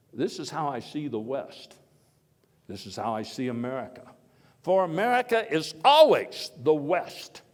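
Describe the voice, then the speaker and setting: Male reenactor as genial historical statesman, medium-pitched man's voice, folksy halting monologue, wry asides, oratorical emphasis, long hall reverb. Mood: stirring, proud. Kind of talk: live first-person historical monologue, speech to an audience.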